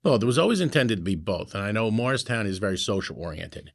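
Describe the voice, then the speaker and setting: A man's voice: lowish voice, sing-song, fast, vocal fry. A middle-aged man, a founding club member, telling wry stories in a casual group chat. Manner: wry, storytelling, frequent fillers.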